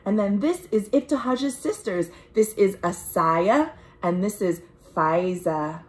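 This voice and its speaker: A young American woman reads a picture book aloud to children, expressive and deliberate, with friendly asides like a storytime librarian. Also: mid-range voice. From United States. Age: young adult. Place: small room at home.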